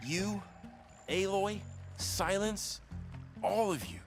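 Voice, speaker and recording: An actor playing a sincere, eager young male warrior with an earnest, encouraging manner in an animated game. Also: high-pitched, slow pace, over music. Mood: earnest, heartfelt. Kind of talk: animated game cutscene acting.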